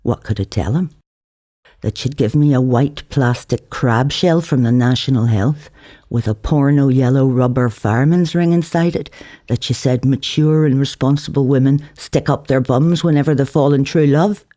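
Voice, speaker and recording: Mellow narrator, reading fiction. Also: mid-range voice, normal speed. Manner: theatrical, shifts voice for dialogue. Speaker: author reading own novel aloud. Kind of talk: dramatic literary narration in colloquial first person.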